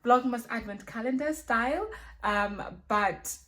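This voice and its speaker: A woman's voice: a little high, measured pace, fairly bright tone. A woman from Zimbabwe, a mother and women's ministry leader, chatting casually through a self-filmed Q&A, recorded on her phone, with many fillers and tag questions. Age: adult with children.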